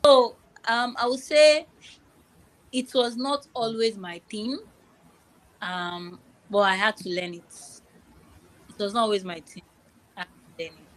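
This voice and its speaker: Young Nigerian woman, halting and repetitive. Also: medium pitch, rough recording. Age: young adult. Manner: repeats phrases, many fillers and restarts. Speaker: guest. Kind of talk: podcast interview.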